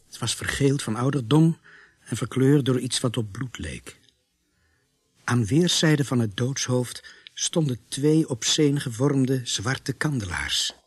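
An actor narrating a macabre radio-play thriller in a grave, dramatic, husky voice. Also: male voice, medium pitch, lively intonation, a little slow. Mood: grave, ominous.

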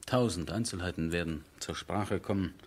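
An actor playing a sober, dutiful army major who speaks in measured, weighty phrases in a 1970s German radio drama. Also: male voice, sits low, breathy edge. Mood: urgent, admonishing.

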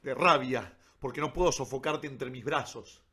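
A man's voice: mid-range voice, moderate pace, sharp tone, breathy edge. A man plays a young male captive in a radio drama, full of indignation and defiance. His delivery is furious and proud, that of a prisoner who refuses to yield. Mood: defiant rage.

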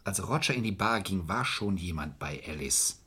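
An actor playing a shrewd, calculating but courteous man in a German crime radio play, speaking in a measured, persuasive way. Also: low-pitched, animated, sharp tone, breathy edge, heavily acted. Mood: insistent. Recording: vintage radio play recording.

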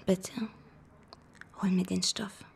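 A woman's voice: fairly low pitch, monotone, takes it slowly, gravelly, breathy edge, full character voice. Teasing bold young woman. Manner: playful, teasing, coaxing. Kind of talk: radio drama acting.

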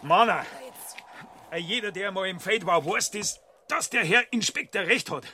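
An actor playing a loyal, excitable Bavarian police sergeant in a radio drama, speaking dialect in a halting, stammering way. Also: male voice, sits high, breathy edge, heavily acted. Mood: stubborn, dutiful. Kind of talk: German radio crime drama acting in dialect.

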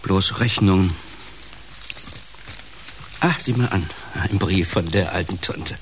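A man's voice: deep voice, takes it slowly, noisy background. A male actor playing a grumpy, self-centred uncle in a German radio play, grumbling with impatient sarcasm. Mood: sour grumbling.

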